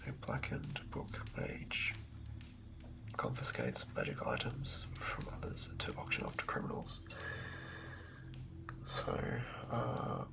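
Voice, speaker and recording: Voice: very deep voice, huge pitch swings, takes it slowly, vocal fry, pauses often, rough recording. An ASMR host whispers up close, murmuring and thinking aloud in fragments while planning out a tabletop game. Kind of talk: whispered ASMR, improvised villain-building ramble.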